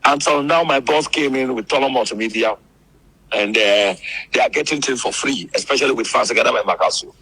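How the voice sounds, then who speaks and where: A man's voice: mid-range voice, fairly quick, sharp tone, poor audio. A man with a Ghanaian accent explaining things as a phone interview guest, repeating phrases and often adding "you know". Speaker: interview guest, entertainment media insider. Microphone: phone call. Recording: husky, rough radio phone-in audio.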